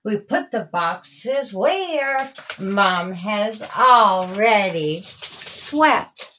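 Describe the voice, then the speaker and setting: A woman narrating a rhyming children's story in a deliberate, singsong storytelling manner. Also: medium pitch, expressive, bright, forceful tone, a little hiss or hum. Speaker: author reading own story.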